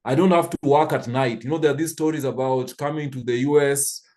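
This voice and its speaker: A man's voice: medium pitch, steady tone, fairly quick, darkish tone. A man in his fifties from Kenya, telling stories thoughtfully with frequent restarts on a church panel. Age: 50s.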